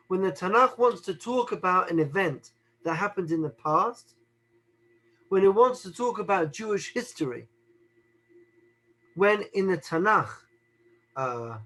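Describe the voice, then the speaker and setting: A middle-aged man with a high voice teaches in a measured, unhurried way. He repeats key words and poses rhetorical questions to his listeners before he answers them. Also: a bit bright, poor audio. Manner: deliberate, repeats phrases, asks rhetorical questions. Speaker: Torah teacher. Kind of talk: teaching talk on the Haggadah.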